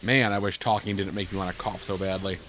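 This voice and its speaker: Low-voiced man bantering over a game, noisy recording. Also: steady tone, quick pace. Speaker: gaming video co-host and player. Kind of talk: casual banter while playing a game. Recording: noisy home gameplay recording.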